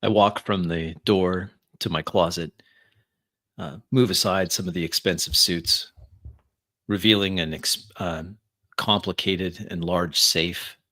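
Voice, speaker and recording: A man improvising first-person narration in a tabletop roleplay, in deliberate, halting phrases. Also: low voice, steady tone, pushed and bright. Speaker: roleplay player.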